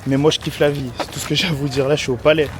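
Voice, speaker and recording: A young man from France vlogging his walk through busy city streets, talking to his viewers in excitable, slangy speech. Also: a little high, very fast. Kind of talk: casual travel vlog talk. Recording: music mixed under the voice.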